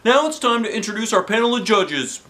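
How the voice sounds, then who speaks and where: A man's voice: high voice, big pitch swings, a little fast, fairly bright tone, pitched above the performer's own voice. A man voicing a showy school principal who hosts a televised talent show in a children's story, announcing and selling like a salesman. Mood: formal announcing. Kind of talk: children's book character voice.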